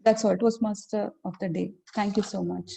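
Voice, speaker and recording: A woman's voice: medium pitch, steady tone, creaky, dark tone. A woman reading out a filler-word count at an online speaking club meeting, hesitating often. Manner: halting, many ums and uhs, reading out counts. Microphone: video call.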